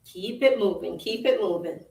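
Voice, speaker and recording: A woman's voice: mid-range voice, normal speed, vocal fry, a little mellow. A woman with a Jamaican accent leading a live workout, giving short, encouraging cues over and over and laughing easily. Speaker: fitness instructor. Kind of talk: live virtual workout coaching. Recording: roomy, distant from the mic.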